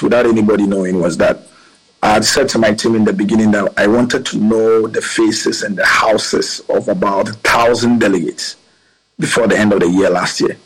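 A man's voice: on the low side, slightly rough, rough recording. A man, a politician answering interview questions in an earnest, rambling way with many fillers. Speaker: politician guest.

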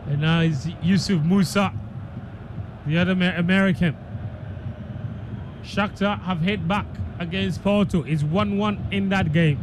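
A man's voice: high-pitched, a little slow, pauses often. A man giving live football commentary on the radio. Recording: clear background noise, stadium ambience.

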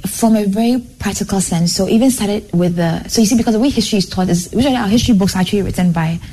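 A young woman guest sharing her own story on a talk show, earnest and animated, her phrases tumbling out with a lot of "like". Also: medium pitch, rapid-fire, slight background noise, poor audio.

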